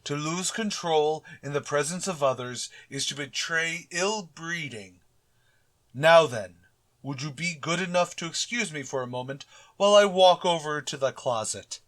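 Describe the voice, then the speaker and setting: A man acts out a pompous middle-aged principal from a radio sitcom. He speaks in florid, deliberate sentences loaded with sarcasm. The voice is bright and forceful. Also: a little high. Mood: exasperated, restrained. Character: pompous, long-suffering middle-aged male high school principal. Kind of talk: old-time radio sitcom acting.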